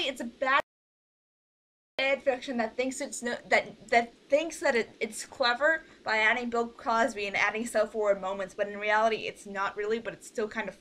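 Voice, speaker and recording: A young woman with a fairly high, very bright voice hosts an informal online chat. She rambles in a giggly way and often cuts herself off to start again. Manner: rambling, giggly, self-interrupting. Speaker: host of an online fan project meeting. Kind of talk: casual group call chat. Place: online group voice call.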